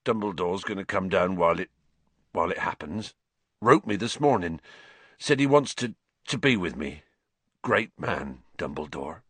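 Man acting huge, gentle, grieving rustic man. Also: low voice, expressive, husky, dry recording. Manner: halting, rustic dialect phrasing.

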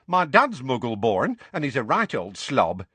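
A man playing a young storybook witch, breezy and frank. The pitch lifts and bounces as the character chats with open curiosity. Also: huge pitch swings, a little slow, bright, forceful tone, breathy edge, no echo, heavily acted. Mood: chatty, curious. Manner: chatty, conversational.

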